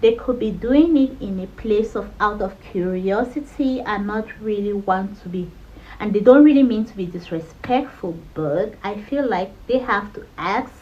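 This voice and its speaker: A woman's voice: medium pitch, normal speed, darkish tone, lo-fi sound. A woman from Cameroon in her thirties, talking candidly in a personal vlog with a conversational manner. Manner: candid, conversational, frequent "you know". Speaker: vlogger.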